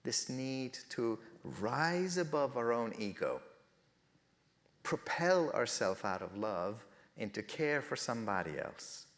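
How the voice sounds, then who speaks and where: A man's voice: medium pitch, lively intonation, takes it slowly, a bit bright, long reverb tail. A middle-aged man whose first language is Persian, speaking earnestly at a faith festival and telling stories with rising emphasis. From Iran. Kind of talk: reflective spoken talk.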